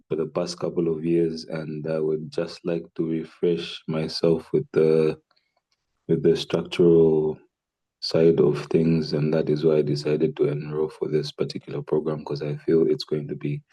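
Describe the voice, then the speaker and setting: A man from Namibia, a road construction engineer, introducing himself calmly in an online meeting. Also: very deep voice, breathy edge, poor audio. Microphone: video call.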